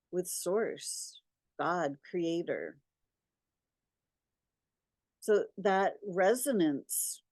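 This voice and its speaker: Very slow-speaking woman leading meditation. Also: lowish voice, mellow, no echo. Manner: deliberate, short phrases with long pauses. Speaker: guided meditation leader. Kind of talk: slow, guided spiritual meditation talk.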